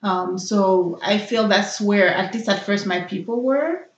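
A young woman from Haiti, Haitian Creole her first tongue, answers interview questions in a thoughtful, hesitant way, often pausing on fillers. Her voice sits at a middle pitch. It sounds roomy and a little dull. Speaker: interview guest, nurse. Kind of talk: personal interview.

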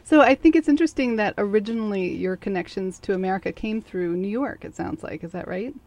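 A woman's voice: mid-range voice, fast talker, darkish tone, no echo. A woman hosting a community interview show, asking friendly, encouraging questions. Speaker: host.

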